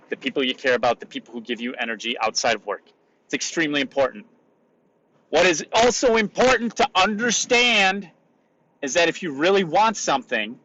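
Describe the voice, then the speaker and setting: A man hosting a solo motivational podcast, raspy today, talking in an emphatic, repetitive coaching way. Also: on the high side, sharp tone, highs cut off. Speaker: podcast host.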